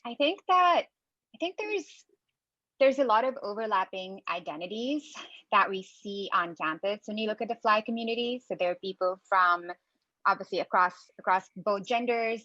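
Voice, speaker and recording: A woman's voice: mid-range voice, pushed and bright, no echo, dull audio. A young woman from Pakistan, a student club co-president, speaking thoughtfully and articulately on an online panel. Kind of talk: conversational panel talk.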